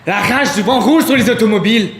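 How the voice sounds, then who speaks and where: A man's voice: high voice, fast talker, close to an everyday voice. A man performing as a solemn reciter of poetry in a theatrical style. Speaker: stage performer. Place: echoey performance space. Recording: noisy, very echoey live stage recording.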